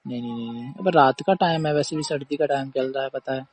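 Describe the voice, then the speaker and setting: A man's voice: mid-range voice, fast talker. A man talking casually in a recorded phone call. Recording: faint background noise on call recording.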